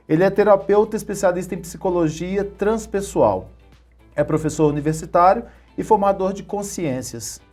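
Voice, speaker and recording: A man's voice: high-pitched, mellow. Chatty talk-show host man. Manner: chatty, laughs easily. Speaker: interview host. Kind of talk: interview hosting.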